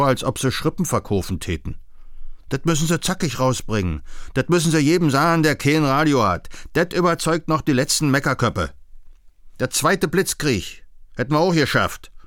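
A man's voice: medium pitch, expressive, moderate pace, fairly bright tone, dry recording, clean studio sound, voice pushed up, lightly acted. A man voicing a boastful, agitated Nazi party man who hectors and barks propaganda in a radio play. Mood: triumphant, fired-up. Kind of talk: radio play reading of a novel.

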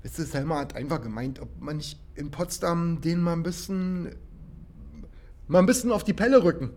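An actor playing a defensive, doubtful village man in a naturalistic German radio drama, speaking in a hesitant, colloquial, halting way. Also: a little high, darkish tone, lightly acted. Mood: defensive, sheepish.

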